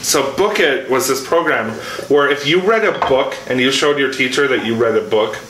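A man's voice: mid-range voice, moderate pace, a bit bright. Chatty man in his thirties, echoey room. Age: 30s. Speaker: musician guest. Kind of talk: casual chat. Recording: echoey room with faint noise.

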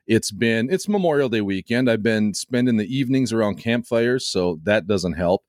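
A man with a lowish, slightly scratchy and hoarse voice talks in a loose, rambling way, often restarting his sentences. His podcast mic gives a close, dry sound with no room in it. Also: lively intonation, normal speed, darkish tone, no echo. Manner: rambling, hesitant, with frequent restarts. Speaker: podcaster guest. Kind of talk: casual podcast chat. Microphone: home podcast mic.

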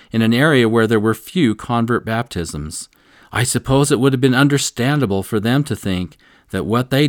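A man with a fairly low voice teaches a devotional scripture lesson in an earnest, measured way. He sits close to the microphone in a dry, dead-sounding space and slows down to let important points land. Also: dry recording. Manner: earnest, slows down to stress key lines. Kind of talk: devotional teaching with personal stories.

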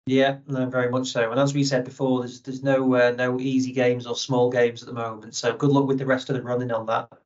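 A man's voice: mid-range voice, level tone, fairly quick, hardly any pauses, a little mellow, muffled recording. A man hosting an interview in a conversational way. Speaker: interviewer, host.

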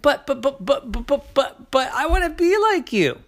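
A storyteller voicing an eager, excitable young male crow who stammers when pleading. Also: sits high, normal speed, pitched above the performer's own voice.

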